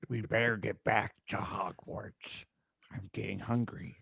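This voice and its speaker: A man's voice: fairly low pitch, steady tone, unhurried, vocal fry, bright, forceful tone, slightly rough, no echo, studio quality, full character voice. A man voicing a sullen, crude goth vampire boy in a comic fan-fiction reading. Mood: gloomy.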